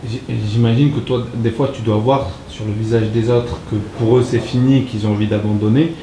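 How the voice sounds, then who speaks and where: A man interviewing a guest, asking questions in a gentle, encouraging way. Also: mid-range voice, fairly even intonation, brisk. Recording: echoey with crowd behind.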